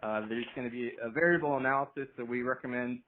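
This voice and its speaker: A man explaining things informally as a product specialist, halting and often repeating his words. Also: mid-range voice, fairly even intonation. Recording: tinny, noisy phone conference line.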